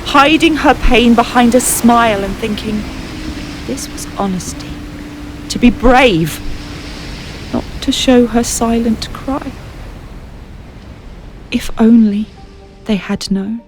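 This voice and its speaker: A woman reading a scripted story as narrator, in an earnest, dramatic manner with deliberate pauses. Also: a little high, slight background noise, poor audio. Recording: music playing behind the voice. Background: music.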